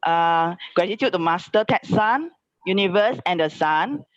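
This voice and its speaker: A woman's voice: on the low side, lively intonation, a little slow, dry recording. A woman from Singapore, giving a polite, formal testimony as a guest speaker. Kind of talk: testimony sharing. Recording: dry, dull online call audio.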